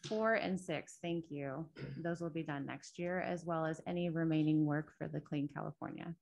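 A soft-spoken woman with a fairly low voice gives a project update at a city council meeting. She talks into a table microphone in the council chamber, pausing often with ums as she walks through her slides. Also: creaky. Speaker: state transportation project manager. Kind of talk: public meeting presentation.

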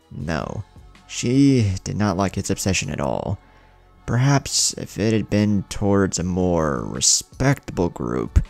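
A man's voice: sits low, vocal fry, darkish tone. A man narrating a scripted dramatic story as the storyteller. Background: music.

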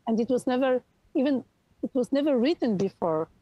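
Polish woman on a halting video call. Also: sits high, animated, no room sound, dull audio. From Poland. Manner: halting, searching for words with many fillers. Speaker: film director. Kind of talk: remote interview. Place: outdoors on a hillside. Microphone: earphone mic on video call.

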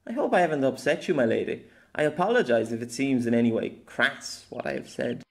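A man voicing a smooth-talking, courteous knight with a formal manner in a fantasy story. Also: mid-range voice, very animated, fairly quick, a little hiss or hum. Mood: apologetic. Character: courteous, flowery young lady's knight, smooth-talking gentleman.

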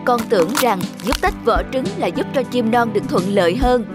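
An actor voicing a wise, authoritative mentor in a children's cartoon, speaking in a calm, measured, instructive way. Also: mid-range voice, loud music behind the voice, lightly acted. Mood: grave, lecturing. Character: calm, wise, authoritative fairy-tale mentor teaching a pupil. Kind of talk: animated children's fairy tale acting.

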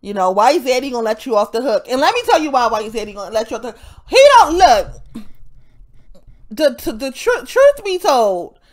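A woman's voice: on the high side, very animated, a little fast. Nasal, congested woman rambling. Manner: profane, rambling, frequent self-interrupting laughs. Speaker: vlogger. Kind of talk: casual rambling monologue.

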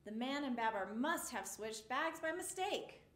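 A woman in her thirties reads a picture book to little children, her high voice bouncing all over with expression. The sound rings a bit in the small studio, picked up from across the room. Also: measured pace, roomy sound. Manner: expressive, exclamations for the story. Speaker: storytime reader. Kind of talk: reading a picture book aloud to young children. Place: small indoor studio with a rainbow mural.

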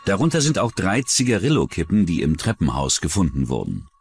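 A man with a deep voice narrates a true-crime documentary. His scripted delivery is dramatic and melodically varied, with music underneath. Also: wildly swooping pitch, brisk, gravelly.

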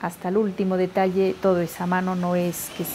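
A woman's voice: on the low side, completely flat delivery, a little fast, background noise. A middle-aged woman from Putumayo, Colombia, a weaver earnestly explaining her craft in a documentary interview.

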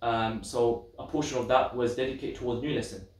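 Fast-talking young man in an echoey room. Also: mid-range voice, fairly even intonation. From Pakistan. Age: young adult. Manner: earnest, explanatory, gestures while talking. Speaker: guest. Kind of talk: podcast interview about memorizing the Quran. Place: room with bookshelves, indoors. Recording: echoey room, mic at a distance.

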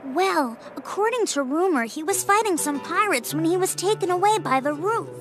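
A woman's voice: very high-pitched, expressive, moderate pace. An actress voicing a helpful young storybook girl who explains things in a thoughtful way, in a video game cutscene. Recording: game music behind.

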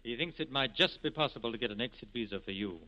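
Actor as expressive, dignified resistance leader. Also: male voice, medium pitch, quick pace, close to an everyday voice. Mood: tender, restrained. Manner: grave, dignified. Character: earnest, steadfast male resistance leader. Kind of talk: 1940s radio acting. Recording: dull 1940s radio broadcast recording.

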